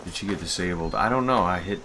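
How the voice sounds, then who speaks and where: A man's voice: low voice, fast, background noise. A man giving casual, informal gameplay commentary, muttering to himself as he plays and tests things. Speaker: streamer and mod creator. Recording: game music behind the voice. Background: video game music.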